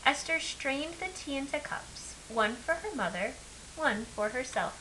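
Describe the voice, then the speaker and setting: A woman reading a children's picture book aloud as an expressive storyteller. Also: sits high, unhurried. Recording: clear background hiss.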